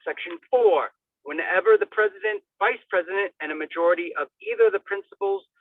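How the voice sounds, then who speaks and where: A man's voice: high voice, a little fast, dry recording. A man giving a theatrical, declamatory reading of a formal document, with sudden bursts of emphasis. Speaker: reader of a legal document. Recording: thin phone-like radio sound, distant mic.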